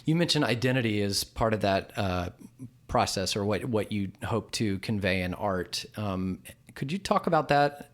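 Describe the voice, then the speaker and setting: A man hosting a podcast interview, gentle and encouraging, with many small murmurs of assent. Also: on the low side, lively intonation, normal speed. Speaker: podcast host.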